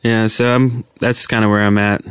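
A man with a low, smooth voice talks casually as a podcast co-host. He feels his way through sentences, and the sound is dry and close. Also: little pitch movement, moderate pace, no echo. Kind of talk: casual group chat about gaming.

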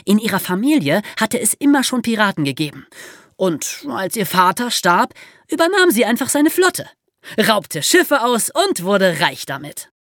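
A woman telling history as a podcast host, in a lively explanatory storytelling style for young listeners. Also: mid-range voice, measured pace, dry recording, studio quality.

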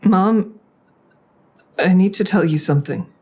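An actress playing a hesitant, guilt-ridden grown daughter who narrates and confesses plainly in an audio drama. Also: female voice, lowish voice, measured pace, darkish tone, slightly rough. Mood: hesitant, guilty. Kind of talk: audio drama acting with first-person narration.

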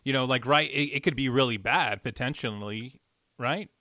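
Brief: male co-host, bright tense tone, rambling questions, dry mic. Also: mid-range voice, normal speed, no echo. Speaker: podcast co-host. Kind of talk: casual sports podcast chat.

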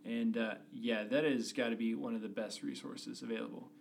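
A man's voice: mid-range voice, fairly even intonation, brisk, vocal fry, slight background noise. Patient man explaining code. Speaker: podcast co-host, experienced developer. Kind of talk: casual explanatory chat.

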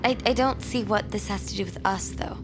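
A woman's voice: medium pitch, brisk, creaky, music in the background, natural voice. An actress playing a practical, guarded woman helping investigate the occult in an audio drama. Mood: practical, sceptical.

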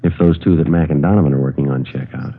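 An American man playing a terse, methodical police sergeant in a 1950s radio drama, narrating and questioning in a clipped, deadpan way. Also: a bass voice, fast talker, vocal fry, breathy edge, phone-quality sound, exaggerated voice. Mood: businesslike. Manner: deadpan, matter-of-fact. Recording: old band-limited radio broadcast, music behind.

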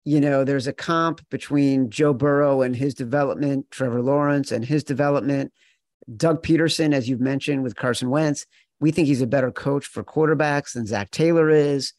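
A man's voice: mid-range voice, darkish tone, no echo. A man co-hosting a sports betting show, talking in an emphatic, playful way straight to his partner. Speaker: podcast co-host.

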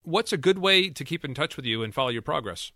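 A man's voice: a little high, lively intonation, quick pace, pushed and bright, dry recording, studio quality. A man hosting an interview podcast, asking questions and closing the episode in an upbeat, polished way. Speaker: podcast host.

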